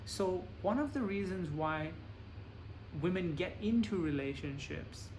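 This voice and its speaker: Male lecturer, plain measured delivery, faint room noise behind. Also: sits high, animated, darkish tone, rough recording. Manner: plain, measured explaining with examples. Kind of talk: bilingual lecture with interpreter.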